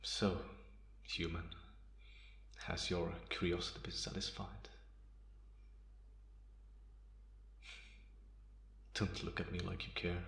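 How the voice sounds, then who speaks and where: A man playing a bitter, guarded, centuries-old vampire in a slow ASMR roleplay monologue with measured pauses. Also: deep voice, level tone, creaky, fairly bright tone, full character voice. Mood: cold, resigned. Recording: roomy, echoing sound.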